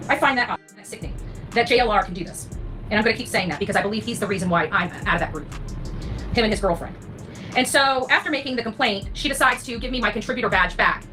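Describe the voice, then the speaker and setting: Female streamer for a fired-up rant: forceful bright tone, blunt and sweary, music playing underneath. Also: on the high side, fast, low-quality recording. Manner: indignant, emphatic, blunt, sweary. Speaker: online true crime content creator. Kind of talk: impassioned rant to livestream audience. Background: background music.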